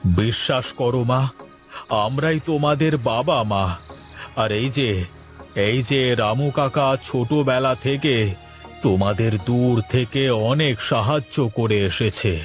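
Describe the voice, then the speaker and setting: A wealthy patriarch of a cartoon household acted by a man, earnest yet used to giving orders. His voice sits at an average male pitch, with faint music behind it. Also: slow pace, close to an everyday voice. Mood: earnest, reassuring. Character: wealthy father, master of the house, reassuring. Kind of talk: Bengali animated moral-story dubbing.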